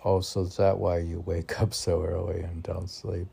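A man's voice: low voice, level tone, normal speed, vocal fry, dark tone, husky. A man informally reading poems and commenting on them in a rambling, conversational way, posing questions and answering them himself.

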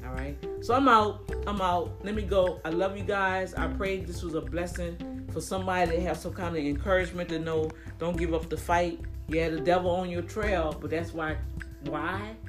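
A hoarse middle-aged man talking casually from his car, preaching encouragement and repeating phrases. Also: sits high, normal speed, over music. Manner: lively, preachy, repeats phrases, laughs a lot. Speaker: vlogger and small business owner.

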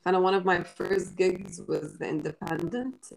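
A woman in her late twenties with an Egyptian accent speaks in a fairly low voice. She talks in a relaxed, reflective way, restarting phrases and dropping Arabic words into her English, and Arabic is her first language. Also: a little fast, gravelly, poor audio. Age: 20s. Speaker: podcast host and writer. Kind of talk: casual interview talk.

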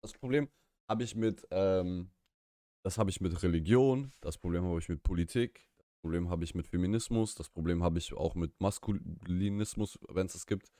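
Low-voiced man, opinionated rambling chat. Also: moderate pace, mellow, no echo, clean studio sound. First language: Russian. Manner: rambling, self-correcting, opinionated. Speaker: podcast co-host. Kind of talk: casual chat. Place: small room, live stream.

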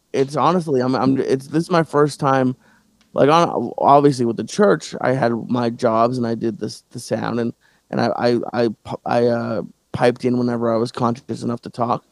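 Man, podcast host, stand-up comic, halting, restarts a lot, dry close sound. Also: medium pitch, steady tone, vocal fry, dry recording. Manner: halting, many restarts and fillers. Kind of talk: casual podcast chat.

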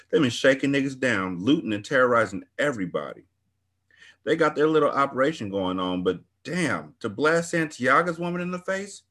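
A man voicing a young woman from the neighborhood who rambles on about a shooting, worked up and excited. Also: mid-range voice, voice pushed up.

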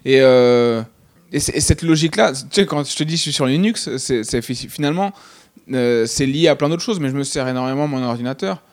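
A man's voice: mid-range voice, animated, brisk, no echo. A man, a stand-up comedian, talking casually and hesitantly, with many restarts and fillers. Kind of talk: casual conversation.

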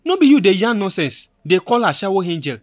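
A man's voice: high-pitched, sing-song, a little fast, dry recording, clean studio sound. A man acting a scornful, stubborn older village man in a radio drama, with a Nigerian accent and an emphatic, scoffing delivery. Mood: indignant, judging. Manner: emphatic, scoffing, with repeated exclamations.

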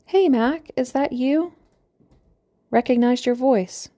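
Chatty midwestern postmistress, as a lady reader puts her on, higher voice, teasing and folksy. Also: slow, mellow. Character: matronly, teasing small-town woman postmaster.

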